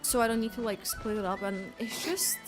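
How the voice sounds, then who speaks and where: High-voiced woman streaming a game. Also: steady tone, brisk, over music, rough recording. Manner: chatty, thinks aloud, greets viewers. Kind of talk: casual livestream gameplay commentary.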